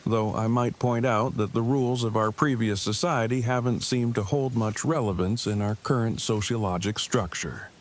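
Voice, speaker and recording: A man's voice: medium pitch, normal speed, hardly any pauses, natural voice. An actor playing an earnest, awkward, bookish man in a post-apocalyptic audio drama, speaking in verbose, formal, precise phrases. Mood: matter-of-fact. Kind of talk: fan audio drama acting. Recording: clearly noisy background.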